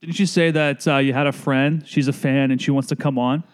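A man with a middle-pitched voice hosts a laid-back podcast. He trades teasing, joking banter with his guest in an easygoing, even delivery. His voice comes through an inexpensive podcast mic. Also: fairly quick, smooth. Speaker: podcast host. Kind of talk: casual podcast chat. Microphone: inexpensive podcast microphone.

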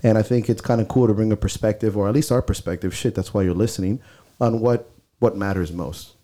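Blunt stuffy-nosed man with a dark, mellow voice. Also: lowish voice, fairly even intonation, a little fast. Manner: blunt, swears freely, opinionated. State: stuffy nose. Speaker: podcast co-host. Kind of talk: casual podcast chat. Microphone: close podcast mic.

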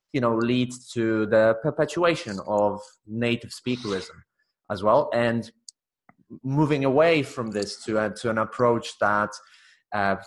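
A man talking as an interview guest, explaining his ideas at length in a measured, earnest way. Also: medium pitch. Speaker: guest, teacher and author. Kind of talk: casual interview chat.

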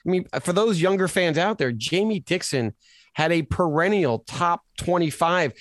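An American man chatting casually as co-host of a sports podcast. He tells stories with energy and acts out the people in them. His voice sits a little high and sounds dry and close. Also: moderate pace, no room sound. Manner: animated storyteller, acts out quotes.

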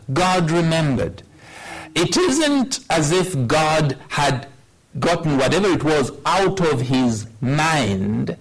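Slow, measured older man preaching. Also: fairly high pitch, poor audio. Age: 60s. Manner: measured, unhurried storytelling with wry humor. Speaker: pastor. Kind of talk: sermon with personal storytelling.

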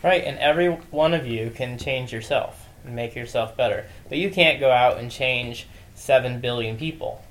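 A man's voice: mid-range voice, moderate pace, hardly any pauses, a bit bright, low-quality recording. Laid-back man, thirty, chatting casually. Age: 30s. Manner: loose, conversational, mildly joking. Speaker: podcast co-host. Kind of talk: casual chat.

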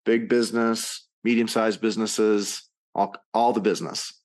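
Very deep-voiced middle-aged man. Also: fairly even intonation, normal speed, a little mellow. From United States (Ohio). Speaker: podcast guest, entrepreneur. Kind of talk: casual interview chat.

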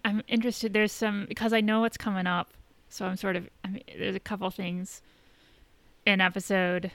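A woman's voice: medium pitch, gravelly, fairly bright tone, no echo. A woman co-hosting a casual podcast discussion, explaining things and rephrasing as she goes. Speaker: podcast co-host.

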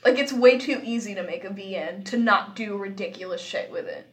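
A woman's voice: mid-range voice, brisk. A woman chatting casually as a podcast guest, giggly and exclamatory, with an incredulous way of talking. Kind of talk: casual comedic chat with a friend.

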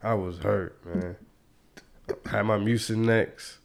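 Congested laid-back podcast host. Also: male voice, fairly low pitch, fairly even intonation, slow pace, creaky, slight background noise. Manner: laid-back, slangy, chuckling. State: congested from a cold. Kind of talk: casual podcast chat.

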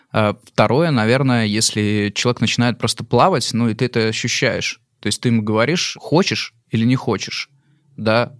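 Lowish-voiced man chatting as podcast guest. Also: dry recording, studio quality. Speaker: restaurant employer, podcast guest. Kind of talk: casual interview talk. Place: podcast studio.

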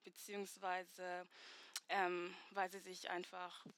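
A speaker in a casual group discussion who talks hesitantly, with many pauses and fillers. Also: medium pitch, monotone, fairly bright tone, no echo.

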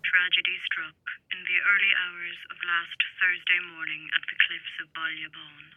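A woman playing a solemn, formal news bulletin announcer, heard through a radio or tape effect. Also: fairly low pitch. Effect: old radio or tape playback. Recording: dull lo-fi radio tape, faint noise.

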